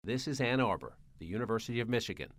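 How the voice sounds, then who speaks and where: A man's voice: mid-range voice, expressive, racing, a bit bright. A man narrating a scripted news report as a correspondent.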